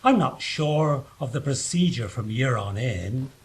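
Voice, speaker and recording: A man's voice: medium pitch, lively intonation, measured pace, soft background music, close to an everyday voice. A man playing a dim-witted, chatty castle guard who rambles and bumbles along. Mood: bumbling.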